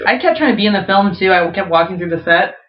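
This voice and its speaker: A woman's voice: mid-range voice, lively intonation, fast. A woman co-hosting a podcast in casual comedic chat, rambling and joking with playful mock grandeur. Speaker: podcast co-host. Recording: dull lo-fi podcast audio.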